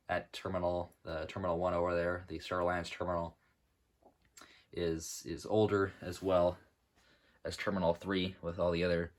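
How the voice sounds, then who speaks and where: A young man with a low, deep voice talks through his hobby build in a loose, rambling way. He fills his pauses with "uh" and corrects himself often. His throat is sore and dry. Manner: rambling, with many fillers and self-corrections. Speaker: model airport hobbyist showing his build. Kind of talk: casual narrated walkthrough.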